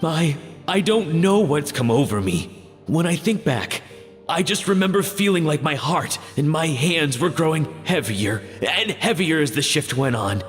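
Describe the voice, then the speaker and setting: A man's voice: on the high side, sing-song, a little slow, in a large hall, faint music behind. A man playing a discouraged, self-doubting cook in a video game, speaking hesitantly. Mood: dejected. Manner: hesitant, dejected. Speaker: struggling cook. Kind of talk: scripted video game voice acting.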